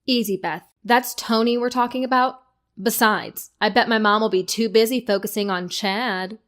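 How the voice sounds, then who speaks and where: An actress playing a stressed but affectionate young bride with a wry, bossy, bantering manner in an audio drama. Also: female voice, on the high side, steady tone, normal speed, a bit bright, lightly acted.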